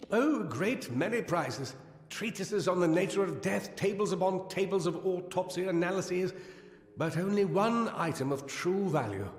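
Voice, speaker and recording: A man's voice: high-pitched, expressive, moderate pace. An actor playing a learned, earnest gnome scholar in a fantasy game, precise and formal in his speech. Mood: regretful, scholarly. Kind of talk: scripted fantasy game dialogue. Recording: echoey hall reverb, music behind.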